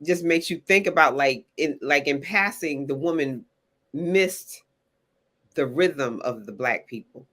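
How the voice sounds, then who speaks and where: A woman hosting a relaxed interview with a novelist, informal and chatty. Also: low voice, unhurried. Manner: informal and chatty, drops phrases like "honey". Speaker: interviewer and festival founder. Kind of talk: casual conversational interview.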